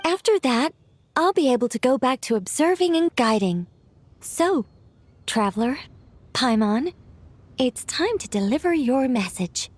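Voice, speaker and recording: A woman's voice: high-pitched, big pitch swings, measured pace, long pauses, exaggerated voice. An actress playing a whimsical, composed female mage in a video game, with a leisurely, musing and playfully evasive manner. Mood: calm, explanatory. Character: whimsical, composed female mage, once an angel, young-sounding. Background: background music.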